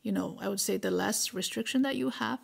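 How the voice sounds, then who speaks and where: A woman's voice: mid-range voice, brisk, creaky, slightly rough. A middle-aged woman whose first language is Mandarin, patiently explaining Chinese words and tones as a guest teacher.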